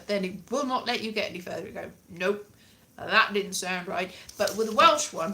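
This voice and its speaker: A woman, perhaps in her late thirties, chatting casually to camera in an animated, rambling, dryly jokey way. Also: medium pitch, slight background noise. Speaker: vlogger, language learner. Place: small plain room indoors.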